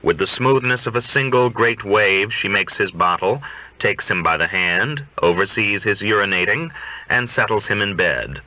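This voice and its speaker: Low-voiced man narrating a novel, dull recording. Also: expressive, normal speed, no echo. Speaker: audiobook reader. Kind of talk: literary scripted narration. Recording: dull, dry, lo-fi audio.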